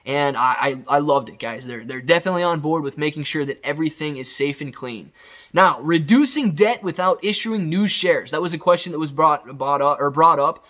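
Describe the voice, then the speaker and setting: Higher-pitched man, enthusiastic recap host. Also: brisk, fairly bright tone. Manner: emphatic, enthusiastic, conversational. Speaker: video host recapping company news. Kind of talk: casual off-the-cuff commentary addressed to viewers.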